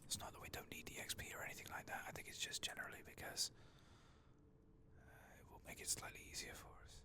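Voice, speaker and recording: A man giving hushed, low-key ASMR game commentary, murmuring softly and sometimes dropping into a whisper. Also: fast. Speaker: video game streamer.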